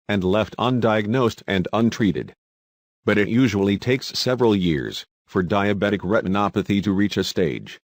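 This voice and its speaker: A man's voice: lowish voice, dry recording, clean studio sound. A man from Somalia presenting a scripted educational narration as a health lecturer. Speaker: presenter of an eye health lesson.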